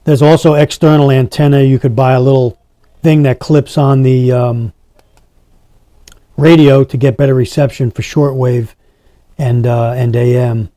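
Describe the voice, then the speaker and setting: A man's voice: medium pitch, fairly even intonation, a little slow, warm tone, no echo, studio quality. A man giving a casual hands-on product demonstration as a reviewer, talking to his viewers. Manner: addresses viewers as guys.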